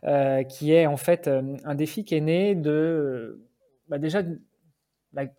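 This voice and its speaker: A man's voice: fairly high pitch, a little slow, dark tone. A man in his forties from France, a podcast guest talking casually with many fillers and restarts. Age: 40s. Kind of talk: casual interview talk.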